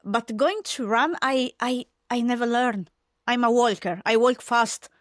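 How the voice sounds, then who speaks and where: A woman's voice: a little high, a little slow, dry recording, studio quality. A woman from Italy with an Italian accent, a podcast guest speaking English as a second language with many fillers and restarts. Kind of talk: casual interview chat.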